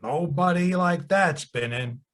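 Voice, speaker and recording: An actor playing a world-weary, excitable bartender in a noir audio drama, grumbling in a folksy way. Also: male voice, a little high, unhurried. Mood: polite, wary.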